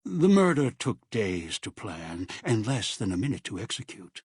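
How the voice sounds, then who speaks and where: A man's voice: on the low side, sing-song, moderate pace, a little mellow, slightly rough, no echo, studio quality. A man narrating a grim video game epilogue in a solemn, scripted storytelling style.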